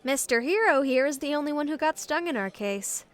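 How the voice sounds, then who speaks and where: A woman acts a mysterious young female adventurer in a fantasy audio drama. She is skeptical and guarded, with a dry delivery and a slightly high pitch. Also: expressive, a little fast. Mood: dry, subdued.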